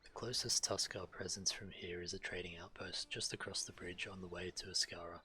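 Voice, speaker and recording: An actor playing a grave, urgent walrus-folk investigator in a video game, solemn and full of foreboding. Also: male voice, low voice, steady tone, fairly quick, pushed and bright, slight background noise.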